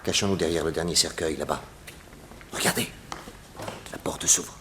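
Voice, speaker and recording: An actor playing a brisk, watchful detective in a 1960s French radio serial, giving urgent, conspiratorial orders. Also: male voice, very deep voice, steady tone. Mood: hushed urgency. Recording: noisy old radio recording with clicks.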